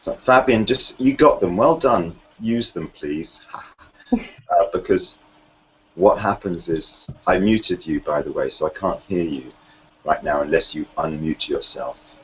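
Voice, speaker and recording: Slow, very deep-voiced man hosting a call. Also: husky, dull audio. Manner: patient, instructive. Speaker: meeting host. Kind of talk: casual video call chat. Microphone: video call.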